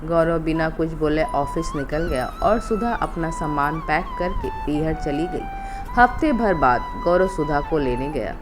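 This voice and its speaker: A woman narrating an emotional family story from a script in an expressive, dramatic way, with music behind her. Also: low-pitched, a little slow. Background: background music.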